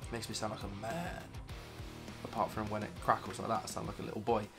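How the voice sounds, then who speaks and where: A British man in his thirties with a croaky voice from illness, chatting enthusiastically about his gear to camera in a home studio. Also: on the low side, little pitch movement, fast, fairly bright tone, soft background music. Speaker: vlogger. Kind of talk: casual gear review to camera. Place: dim home studio room, indoors.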